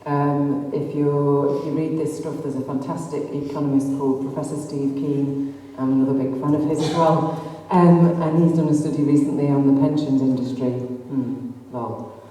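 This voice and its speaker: A woman's voice: low voice, fairly even intonation, normal speed, few pauses, mellow. Earnest Greater Manchester woman in echoey hall. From North West England. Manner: earnest, impassioned, with frequent ums and rhetorical questions. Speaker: activist speaker. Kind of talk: public talk to an audience. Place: arts centre hall. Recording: distant echoey hall recording.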